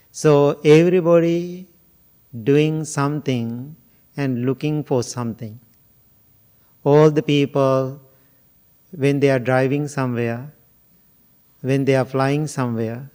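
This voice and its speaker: Slow-speaking monk from Sri Lanka. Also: male voice, mid-range voice, dark tone. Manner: slow, musing, jokes and asks the audience questions. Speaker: Buddhist monk teacher. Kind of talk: informal dharma talk to a group.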